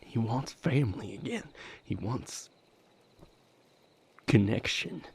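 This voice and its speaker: A man playing a bitter, wounded young man in an intimate audio roleplay, speaking haltingly in a breathy, gravelly murmur with long pauses. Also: medium pitch, animated, slight background noise. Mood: resentful, brooding. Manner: halting, confessional, broken phrases with long pauses. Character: bitter, wounded young man breaking from a manipulative vampire patriarch.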